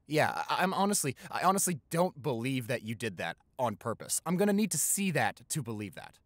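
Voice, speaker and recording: A man's voice: mid-range voice, big pitch swings, a little fast, dry recording, natural voice. Roleplay audio boyfriend, acted by a man: young American, college student, playful, reassuring, hesitant, very dry close sound. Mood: mock defensive. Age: young adult. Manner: teasing, reassuring, hesitant stammers.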